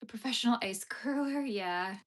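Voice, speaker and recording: A woman's voice: a little high, moderate pace. Chatty young woman streaming while she crochets. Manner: chatty, laughs often, filler-heavy. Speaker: streamer reading chat. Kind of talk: casual live-stream chat while crocheting. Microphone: phone streaming vertically.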